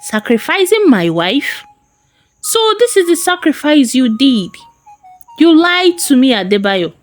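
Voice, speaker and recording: A woman's voice: high-pitched, sing-song, a little slow, faint music behind, voice pushed up. A woman storyteller voicing a greedy, bitter young farmer husband with a harsh, insistent manner in a folktale. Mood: indignant. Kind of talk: storytelling character voice.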